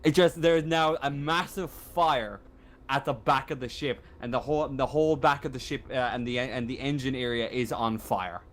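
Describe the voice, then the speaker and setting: Male game master, improvised tabletop narration, halting and conversational delivery. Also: medium pitch, fairly bright tone.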